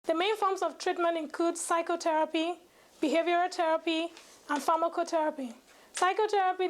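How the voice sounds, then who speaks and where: A young woman, a medical student, giving a scripted educational presentation, reading formally and carefully with occasional stumbles. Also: very high voice. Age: young adult.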